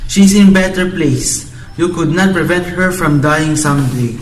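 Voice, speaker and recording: Guy playing a preachy stern friar consoling mourners, highish voice, echoey room, amateur radio play. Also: unhurried, a little hiss or hum, natural voice. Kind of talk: amateur student radio drama acting. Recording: echoey, distant mic, music behind.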